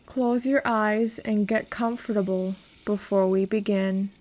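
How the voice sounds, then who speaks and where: A woman narrating a guided meditation in a calm, measured, instructional way. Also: medium pitch.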